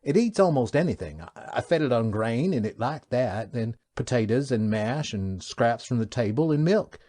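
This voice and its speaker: A man's voice: medium pitch, animated, moderate pace, warm tone, no echo, studio quality, pitched above the performer's own voice, natural voice. A man playing an earnest young crewman who stammers a little. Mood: eager.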